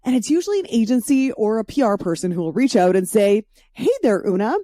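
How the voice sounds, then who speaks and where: A woman's voice: on the high side, lively intonation, fast talker, dark tone, no echo, clean studio sound. A woman hosting a podcast in a casual, chatty, informal way, speaking freely with swearing. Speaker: podcast host. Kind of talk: casual solo intro and interview chat.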